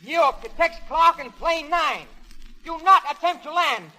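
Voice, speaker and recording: A dutiful radio operator in an old radio drama. His voice is extremely high and sharp. He repeats clipped calls with insistent urgency. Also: normal speed, exaggerated voice, poor audio. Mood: urgent, insistent. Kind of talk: 1930s radio drama acting.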